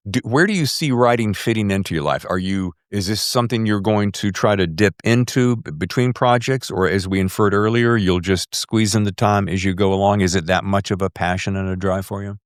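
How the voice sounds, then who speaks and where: Low voiced guy doing a podcast interview, gushy and kinda rambly, really dry sound. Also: clean tone, dry recording. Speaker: podcast host. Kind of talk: conversational interview.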